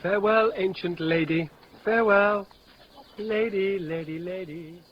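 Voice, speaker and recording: An actor playing a witty, mocking young gentleman in a 1950s radio Shakespeare play, with theatrical, bawdy banter. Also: sits high, unhurried. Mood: bawdy teasing. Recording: dull, noisy old radio recording.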